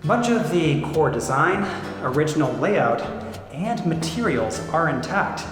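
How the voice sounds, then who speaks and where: A man's voice: on the high side, sing-song, measured pace. Echoey male tour host, music behind. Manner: chatty, playful. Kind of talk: presenting a guided tour to camera.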